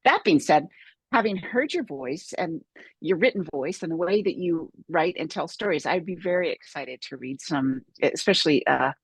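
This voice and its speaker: Woman, husky, lowish pitch, dry sound, interview host, affirming. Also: expressive, moderate pace, no room sound. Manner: warm, affirming, restarts phrases. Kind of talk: casual conversational interview.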